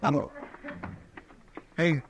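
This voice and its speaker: An actor playing an eager, simple, folksy deputy who defers to his marshal in a radio western. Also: extremely slow. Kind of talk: old-time radio western acting. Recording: old radio recording, faint noise, clicks.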